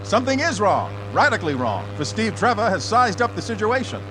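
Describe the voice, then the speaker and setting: A man's voice: sits high, big pitch swings, brisk, music in the background. A man acting as an urgent, dramatic narrator of a scripted radio serial. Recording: light background noise.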